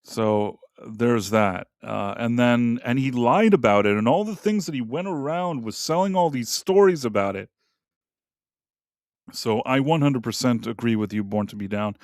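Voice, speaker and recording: A man co-hosts a relaxed live stream in a plain mid-range voice. He rambles, trails off and restarts his sentences. His voice sounds dry and close to the mic. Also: medium pitch, moderate pace, no room sound. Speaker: co-host. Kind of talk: casual live-stream chat.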